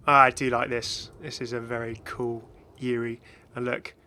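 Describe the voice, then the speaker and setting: A man's voice: medium pitch, level tone, normal speed, sharp tone, a little hiss or hum. A man giving casual, off-the-cuff game commentary as a video host, chatty and rambling with enthusiastic asides.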